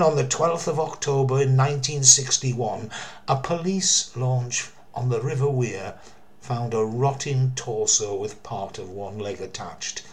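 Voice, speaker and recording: An older man narrating a true mystery story in a theatrical, deliberate way, with emphasis and dramatic pauses. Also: medium pitch. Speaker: storyteller, former theatre worker.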